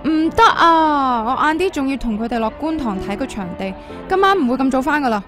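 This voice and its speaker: An actress playing a defiant, idealistic young band member in a radio drama, with a Hong Kong accent. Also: female voice, high voice, expressive, fairly quick, music in the background. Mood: defensive.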